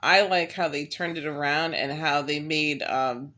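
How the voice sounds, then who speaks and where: A woman's voice: sits low, fairly even intonation, measured pace, bright, forceful tone. A woman chatting casually as co-host, eager and agreeable. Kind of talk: casual chat.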